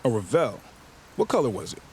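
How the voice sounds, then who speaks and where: A man's voice: mid-range voice, very animated, a little hiss or hum, close to an everyday voice. Man playing an earnest, procedural patrol cop. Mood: polite inquiry. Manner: measured, procedural. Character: earnest, polite young male patrol cop. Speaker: uniformed police officer. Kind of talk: video game cutscene dialogue.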